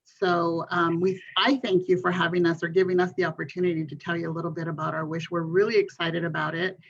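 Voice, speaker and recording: A woman's voice: on the low side, fast talker, slightly rough. Earnest woman mortgage consultant, lo-fi. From California's Central Valley. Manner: steady, earnest, with frequent um fillers. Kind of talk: panel introduction. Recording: dull lo-fi sound.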